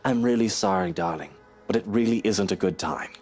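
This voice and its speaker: A man's voice: fairly low pitch, fairly quick, darkish tone, soft background music, lightly acted. A man playing a charming, placating husband in a visual novel game, coaxing and playfully gallant. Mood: tender, apologetic. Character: charming, placating British husband, sweet-talking and evasive.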